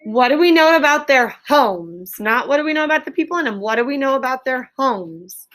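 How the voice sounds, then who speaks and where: A woman with a high voice works as an elementary school teacher leading a lesson. She puts questions to her pupils in a directive way. She repeats their answers back to confirm them. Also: expressive, brisk, fairly bright tone. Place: classroom with pupils.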